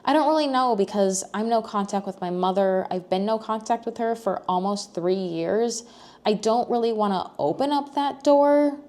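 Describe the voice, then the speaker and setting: A young American woman chats to her camera as if to a friend, mid-pitched and unforced. She rambles and restarts, piling on fillers as she works her thoughts out aloud. Her voice lifts at the ends of questions. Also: normal speed, darkish tone. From United States. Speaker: vlogger. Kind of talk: casual personal vlog.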